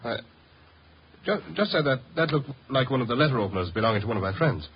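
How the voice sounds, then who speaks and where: A man acts a worried client in a vintage radio mystery. He is agitated and hesitant, his voice lurching up and down as he stammers. The recording is muffled with clear background noise. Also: mid-range voice, lively intonation, fast talker, close to an everyday voice. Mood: uneasy, stammering. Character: nervous, worried male club resident seeking help. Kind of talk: old-time radio drama acting.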